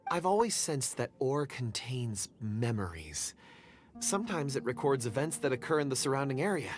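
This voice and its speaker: A man plays a shy, courteous miner who speaks gently and sometimes stumbles over his words. His voice swings up and down with feeling. Game music plays clearly behind him. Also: medium pitch, very animated, normal speed, lightly acted. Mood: gentle. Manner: polite, hesitant, stammers a little. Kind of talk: video game voice acting.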